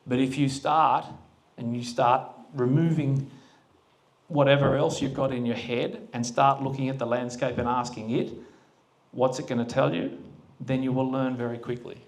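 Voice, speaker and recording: A man speaking to a live audience at a forum in a relaxed, plain-spoken way, often putting questions to the room. His voice sits at a middle pitch for a man, and he talks into a handheld microphone. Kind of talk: casual public talk to an audience. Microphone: handheld microphone that cut out.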